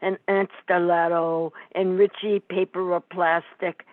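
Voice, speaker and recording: A woman's voice: lowish voice, little pitch movement, unhurried, no echo, natural voice. A woman playing a sarcastic, nagging, middle-aged mobster's wife and mother in an amateur comic radio play, heard through a tinny recording. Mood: conciliatory.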